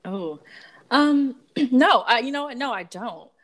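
A woman in her thirties co-hosts a relaxed podcast conversation with a friend. Her talk is casual and emphatic, and she breaks into laughter often. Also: fairly high pitch, slow pace. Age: 30s. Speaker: podcast co-host. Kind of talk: casual chat.

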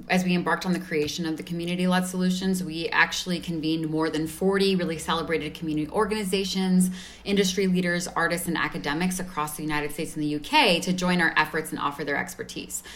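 A woman's voice: on the low side, fairly even intonation, brisk, fairly bright tone. A woman speaking as an interview guest, explaining her organization's work in an articulate, enthusiastic way. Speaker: guest representing an advocacy organization.

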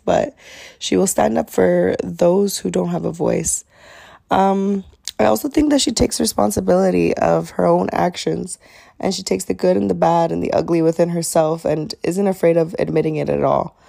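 A young woman talks through her own podcast in a sincere, conversational way, often pausing on "um." Her voice sits low and mellow, with heavy fry and a little rasp today. It sounds like a heartfelt solo episode. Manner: sincere, chatty, with frequent fillers. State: slightly raspy voice. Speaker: podcast host.

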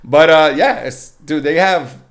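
A man's voice: a little high, measured pace. A man with a Mexican accent, a podcast guest giving upbeat, joking tips straight to the listeners. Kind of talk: casual podcast chat.

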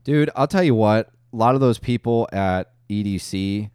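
Deep-voiced, laid-back podcast man. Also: moderate pace, darkish tone, clear, resonant tone, dry recording, studio quality. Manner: laid-back, rambling, swears freely. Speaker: podcast co-host. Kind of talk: crude casual banter. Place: podcast studio.

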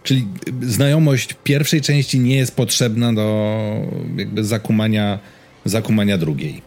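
A man in casual film discussion as a podcast co-host, rephrasing and qualifying his points in a halting way. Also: on the low side, a little mellow. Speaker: podcast co-host reviewing films.

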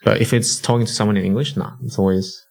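A man's voice: fairly low pitch, steady tone, fast talker, creaky, darkish tone. An Australian man chatting as a podcast guest, easygoing and agreeable. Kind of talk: casual chat.

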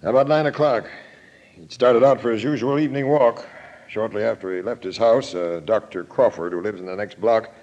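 An actor playing an irritable, curt police captain in an old-time radio drama. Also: male voice, on the low side, normal speed, lightly acted. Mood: testy. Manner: curt, testy. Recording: muffled vintage radio recording.

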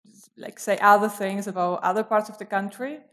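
A woman's voice: medium pitch, fairly quick, fairly bright tone. Woman, hesitant with many fillers. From Argentina. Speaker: guest. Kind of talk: casual interview conversation.

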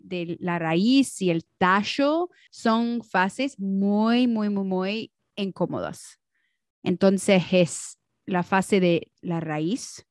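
A woman co-hosting a podcast in a relaxed, unhurried way, now and then slipping into English. Her voice sounds close and dry, with no room around it. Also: mid-range voice, dry recording. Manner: relaxed, mixes in English words, laughs often. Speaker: podcast co-host. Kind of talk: casual chat.

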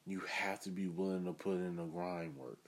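A man's voice: low-pitched, little pitch movement, moderate pace. A man hosting his own podcast, giving an earnest, self-assured motivational monologue. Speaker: podcast host.

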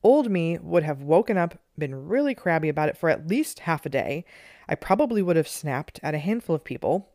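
A woman hosting her own meditation podcast, speaking in a low, soothing tone. She talks in a gentle, earnest way. The close mic picks up no room sound at all. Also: very animated, fairly quick, no room sound. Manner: gentle and earnest, with light laughs. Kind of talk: reflective solo podcast talk.

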